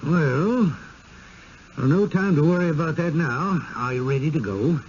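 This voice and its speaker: An actor playing an effusive, insistent village booster with a pompous, flowery manner in a radio drama. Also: male voice, fairly high pitch, lively intonation, a little slow, a little mellow. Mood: brisk. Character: effusive, insistent small-town village booster, genial and smothering. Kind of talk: vintage radio drama acting. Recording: dull, faintly noisy 1970s radio broadcast.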